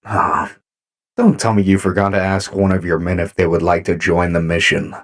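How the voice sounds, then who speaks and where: Deep, calm robot commander played by a man. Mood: understanding. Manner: measured, commanding. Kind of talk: sci-fi audio drama acting.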